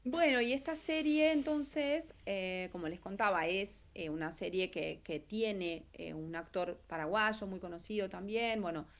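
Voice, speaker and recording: A woman's voice: medium pitch, a little slow, few pauses. Argentine woman recounting her career. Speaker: interview guest, actress and translator. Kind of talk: casual interview, telling career story.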